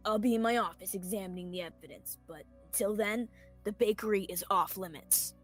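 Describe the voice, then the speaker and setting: A young woman playing an anxious male small-town sheriff trying to seem calm, acted in radio theatre style. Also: medium pitch, fairly quick, faint music behind, close to an everyday voice. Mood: firm, apologetic. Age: young adult.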